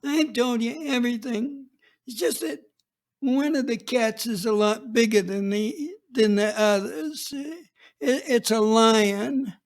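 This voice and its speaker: A man voicing a cowardly, panicky heavyset man who stammers and pleads in a high, strained voice. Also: takes it slowly, exaggerated voice. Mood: pleading.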